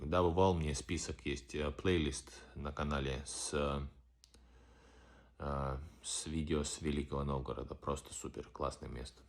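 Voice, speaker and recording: A man hosting a casual live-stream Q&A as a vlogger, rambling loosely with many restarts and fillers. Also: a bass voice. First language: Russian. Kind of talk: casual live-stream chat answering comments.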